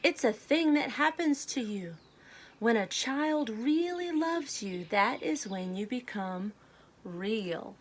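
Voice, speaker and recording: A woman reading a storybook aloud to children, speaking in a deliberate, simple way. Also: a little high, expressive, a little hiss or hum. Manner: deliberate, simple phrasing addressed to young children. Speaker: children's read-aloud host.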